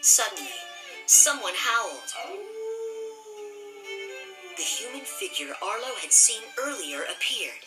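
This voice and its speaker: Slow, lively woman reading a children's storybook. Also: mid-range voice. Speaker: storybook narrator. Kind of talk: scripted, expressive children's read-aloud. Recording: roomy, slightly distant, music behind.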